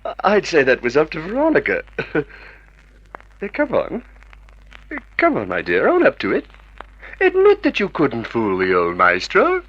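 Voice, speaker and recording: An actor playing a jovial, condescending husband who loves practical jokes, in a theatrical, chuckling 1950s radio drama style. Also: male voice, on the high side, wildly swooping pitch, unhurried, pauses often, fairly bright tone, full character voice. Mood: taunting. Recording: muffled vintage radio recording.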